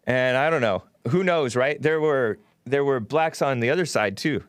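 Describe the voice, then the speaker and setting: A male call-in host talks offhand and chatty in a dry, close studio sound. His pitch sits at a middling level, and he often laughs at his own remarks. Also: normal speed, clean tone, dry recording. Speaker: talk show host. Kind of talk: casual call-in radio talk.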